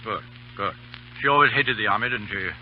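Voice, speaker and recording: An actor in an old radio drama playing a stern, middle-aged British colonel, aloof and clipped in manner. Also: male voice, on the low side, a little fast, faint music behind, natural voice. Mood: gruff, awkward. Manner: clipped, gruff, commanding. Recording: muffled old radio broadcast.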